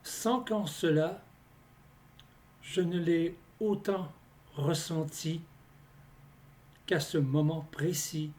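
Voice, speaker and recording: A man reciting his poems in a scripted, deliberate delivery, phrase by phrase with pauses between them. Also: on the high side, fairly even intonation, a little mellow. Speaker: poet reading own poems. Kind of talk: slow scripted poetry recitation.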